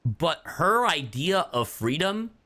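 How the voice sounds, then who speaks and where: Male game streamer, casual and slangy reaction commentary, very lively varied pitch, animated delivery. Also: takes it slowly.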